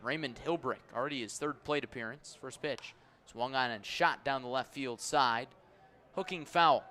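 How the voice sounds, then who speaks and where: A man calling baseball play-by-play for radio in a live sports commentary style. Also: pushed and bright. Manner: measured between pitches, raised and urgent on plays. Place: stadium press area on second deck.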